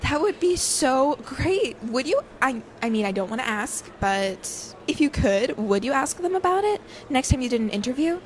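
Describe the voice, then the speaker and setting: A bubbly young woman for an audio drama. Her voice sits on the high side and she gushes with adoring enthusiasm. The recording carries faint background noise. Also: sing-song, moderate pace, few pauses. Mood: eager, starstruck. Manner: gushing, enthusiastic.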